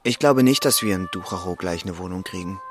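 An actor playing an earnest, anxious young office clerk in a radio drama, emotional and plaintive. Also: male voice, sits low, a little fast, faint music behind, natural voice. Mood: tender, hopeful. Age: young adult. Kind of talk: German radio drama acting.

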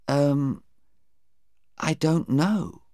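A man's voice: a little high, drawn-out words, warm tone, dry recording, clean studio sound, pitched above the performer's own voice. A man voicing a distressed, defensive young woman in an audiobook, hesitant and pleading. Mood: hesitant, nervous. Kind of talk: audiobook character voice.

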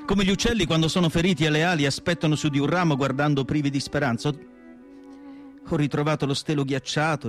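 A man playing a melancholy asylum doctor in radio theatre, narrating in an emphatic literary monologue with dramatic pauses. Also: mid-range voice, natural voice. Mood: bleak, weary. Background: music.

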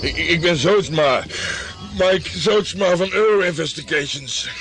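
A man's voice: on the high side, a little slow. An actor playing a brash, self-important hard-boiled male private detective in a radio play, blustering and condescending. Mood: blustering, irritated.

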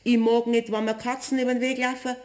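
A woman's voice: a little high, little pitch movement, quick pace, a little mellow, close to an everyday voice. A woman playing a superstitious, bossy but kindly housewife in a German radio play, chatty and emphatic with a Bavarian accent. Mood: bustling, caring.

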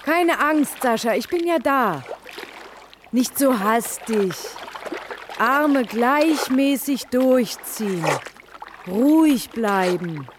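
An actress playing a spirited young student lifeguard in a radio play, with a direct, encouraging manner. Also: female voice, fairly high pitch, lively intonation, drawn-out words. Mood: patient, encouraging. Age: young adult. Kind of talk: German radio play acting. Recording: noisy outdoor sound scene with water.

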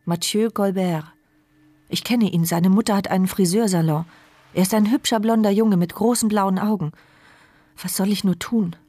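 A woman's voice: mid-range voice, steady tone, normal speed, warm tone, no room sound, close to an everyday voice. An actress playing a mute, paralysed woman who narrates her inner thoughts in an intimate, urgent voice in a radio drama. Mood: desperate. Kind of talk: first-person radio drama monologue.

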